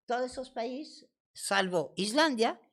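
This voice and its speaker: A woman's voice: on the high side, lively intonation, slow pace. A woman with a French accent giving a passionate political talk at a debate. She often restarts words and hesitates. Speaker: debate speaker.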